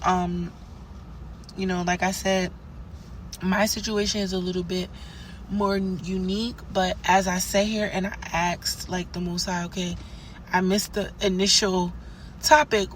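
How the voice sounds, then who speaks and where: A young woman, hoarse for days, hosting a podcast and giving rambling, conversational spiritual advice with plenty of fillers. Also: fairly low pitch, steady tone, a little slow, a bit bright, a little hiss or hum. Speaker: podcast host. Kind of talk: casual spiritual advice monologue.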